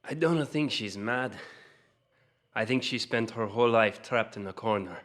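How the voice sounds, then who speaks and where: An actor playing a stern, honor-bound aging soldier in a fantasy audio drama, grave, terse and regretful. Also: male voice, on the low side, a little slow, a bit bright.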